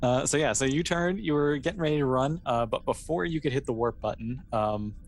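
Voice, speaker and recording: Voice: medium pitch, a little fast. A game master running a tabletop roleplay in a casual, hesitant, conversational way, explaining and reassuring as they narrate. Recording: clear background noise.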